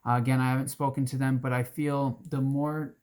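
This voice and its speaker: Hoarse man giving an earnest talk. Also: mid-range voice, flat delivery, brisk, darkish tone. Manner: earnest, rambling with restarts and fillers. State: hoarse, throat-clearing. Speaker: advocacy group organizer. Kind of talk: casual direct-to-camera talk.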